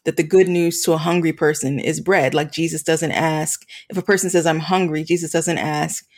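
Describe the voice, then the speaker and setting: A woman speaking as an interview guest, reflective and earnest, measured with pauses. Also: deep voice, steady tone, darkish tone. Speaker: guest, campus ministry leader.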